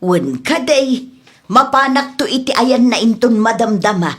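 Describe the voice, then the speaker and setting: A woman playing a chatty middle-aged village woman in a comedy drama, with a husky voice and an Ilocano accent. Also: on the high side, expressive, unhurried. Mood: busy, friendly. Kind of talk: Ilocano comedy radio-style drama acting.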